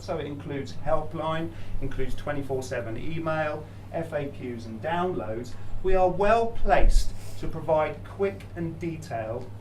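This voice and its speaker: A man's voice: fairly high pitch, darkish tone. A man presenting for a brand to a live audience in an upbeat, persuasive sales manner. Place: hotel function room. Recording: distant mic with faint room noise.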